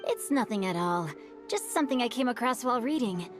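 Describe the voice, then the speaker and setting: Woman doing a well-read young swordsman guy, polite and calm, tells stories slow, soft game music under it. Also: fairly high pitch, close to an everyday voice. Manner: courteous, measured storytelling. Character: bookish young male swordsman, polite and thoughtful. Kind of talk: video game dialogue.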